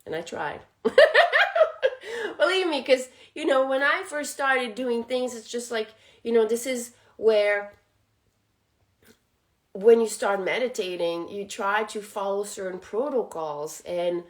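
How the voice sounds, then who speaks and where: A woman's voice: fairly high pitch, takes it slowly, long pauses. A woman whose first language is French, hosting a spiritual channel and talking casually, reflective and laughing often.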